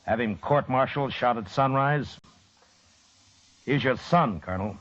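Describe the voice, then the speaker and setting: An actor playing a steady, stern U.S. marshal with dry humor and a laconic, firm manner in an old time radio western. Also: male voice, mid-range voice, a little slow, natural voice. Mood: stern, commanding. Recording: muffled, faintly noisy old radio recording.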